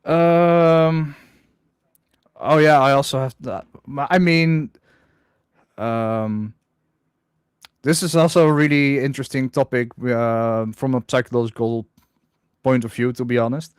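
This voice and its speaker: A man from the Netherlands, hosting a casual livestream chat in a droning, halting way. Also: mid-range voice, clear, resonant tone, no echo, studio quality. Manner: hesitant, many restarts, self-described droning monotone. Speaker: livestream host.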